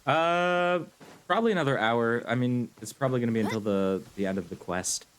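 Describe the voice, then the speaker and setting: A man's voice: medium pitch, a little fast, slight background noise, rough recording. A young man casually commenting on a game as he livestreams it. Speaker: game streamer. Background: game music.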